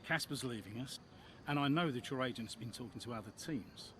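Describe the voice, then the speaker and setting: Pushy older male mentor, played by an actor, blunt and coaxing, forceful edge, lively delivery, game drama. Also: mid-range voice, fairly quick, slight background noise, close to an everyday voice. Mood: insistent. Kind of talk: video game cutscene acting.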